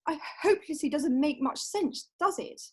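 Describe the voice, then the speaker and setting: Woman reading a sweet girlish young lady in a play, really high voice, romantic but self-possessed. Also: animated, normal speed, a little mellow. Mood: sweet, prim. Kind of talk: amateur play read-through. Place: at home on a video call. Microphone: video call.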